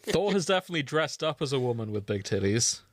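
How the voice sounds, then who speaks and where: Guy doing a casual podcast, mid pitched voice, jokey and irreverent, swears a bit, no room sound. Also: very animated, fast, no echo. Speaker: podcast co-host. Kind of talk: casual banter.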